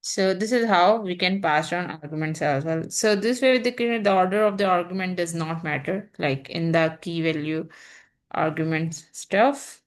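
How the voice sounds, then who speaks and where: A young woman teaching programming in an unhurried, think-aloud way, pausing and restarting as she types examples. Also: lowish voice. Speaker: programming instructor. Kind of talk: screen-recorded coding tutorial.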